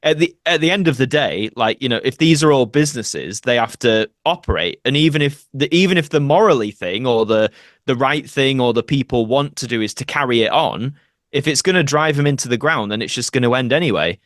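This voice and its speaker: Male podcast guest, medium pitch, earnest conversational delivery, very dry sound. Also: lively intonation, brisk, a bit bright, no room sound. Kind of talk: casual interview conversation.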